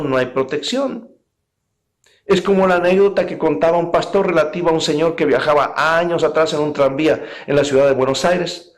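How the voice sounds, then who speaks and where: Earnest male preacher giving a sermon. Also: a little high. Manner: earnest, emphatic, direct address to listeners. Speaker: pastor. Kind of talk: sermon with illustrative anecdotes.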